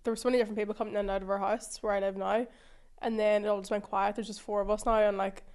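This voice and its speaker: A woman in her twenties hosts a studio podcast. She talks fast and in a friendly way at a middle pitch. She often chimes in to agree with her guest. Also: level tone, a little mellow. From Northern Ireland. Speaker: podcast host. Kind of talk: casual chat.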